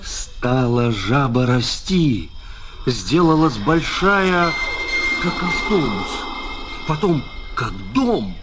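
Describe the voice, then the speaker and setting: A man narrating a children's tale in an expressive, dramatic and deliberate storytelling style. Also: medium pitch, heavy background noise.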